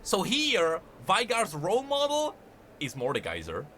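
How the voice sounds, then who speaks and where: A man giving informal explanatory commentary on game lore, animated and halting as he thinks aloud. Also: sits high, slight background noise.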